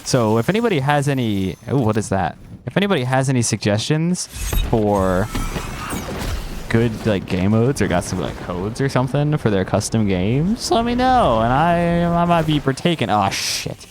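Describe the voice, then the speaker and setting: A man's voice: medium pitch, big pitch swings, normal speed, soft background music. A young man with a congested voice, chatting in a loose, rambling way while commenting on his gameplay. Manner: rambling and self-interrupting, drops slang. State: congested, recovering from a cold. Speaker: gaming streamer.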